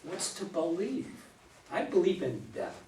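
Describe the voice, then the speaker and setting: A man acting a grieving, bitter widower and recovering drinker in a staged play reading, halting and terse. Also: sits high, sing-song, a little mellow. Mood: bleak. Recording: roomy, distant mic, faint noise.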